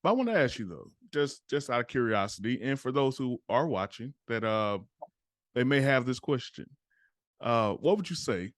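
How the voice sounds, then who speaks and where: A man's voice: medium pitch, big pitch swings, normal speed, dry recording, clean studio sound. A man hosting a podcast interview, asking questions hesitantly with many fillers. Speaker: podcast host.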